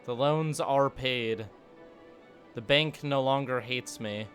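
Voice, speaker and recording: A man's voice: medium pitch, slow, fairly bright tone, soft background music. Joking, sarcastic male streamer. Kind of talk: casual gameplay commentary.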